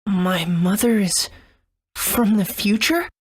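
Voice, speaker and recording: A woman's voice: medium pitch, takes it slowly, slightly rough. An actress voicing an earnest young boy hero in a video game, wide-eyed and eager in manner. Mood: puzzled.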